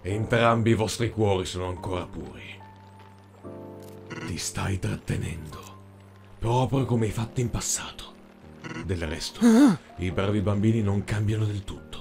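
Man acting a dark tentacled cartoon villain, low voice, menacing mock politeness, domineering, prominent music behind. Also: unhurried, vocal fry, pauses often. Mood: calm, taunting. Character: sinister, mocking dark tentacled overlord, cruel and domineering. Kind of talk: animated fan dub villain acting.

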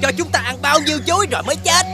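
Silly squeaky monkey for children's animated folk tale, high-pitched, over prominent music. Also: racing. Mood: matter-of-fact chatter. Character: chattering, gullible monkey in a fairy-tale cartoon.

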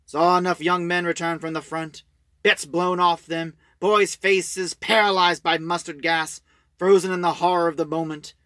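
A man's voice: sits high, steady tone, normal speed, fairly bright tone, no echo, clean studio sound. Tired old army man, sad and grave, short clipped bits, radio play style. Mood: sombre, bitter. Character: weary, sorrowful old British soldier, sickened by war. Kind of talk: science-fiction audio drama acting.

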